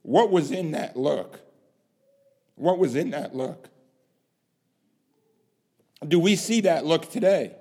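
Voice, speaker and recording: A man preaching a sermon, dramatic, swinging from hushed lines to loud emphasis and asking rhetorical questions. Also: fairly high pitch, expressive, slow, pauses often. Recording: long hall reverb.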